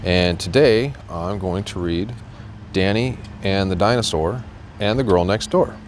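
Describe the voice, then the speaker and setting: Low-voiced American man reading a storybook. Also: a little slow, slight background noise. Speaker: guest reader, police officer. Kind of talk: children's storybook read-aloud.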